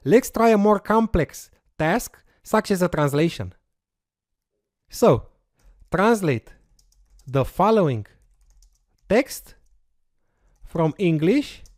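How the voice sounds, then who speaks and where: Romanian-native instructor with lively pitch. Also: slow, pauses often, no echo, clean studio sound. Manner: explanatory, walks through steps aloud with fillers. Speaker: course instructor. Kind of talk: screen-recorded coding tutorial.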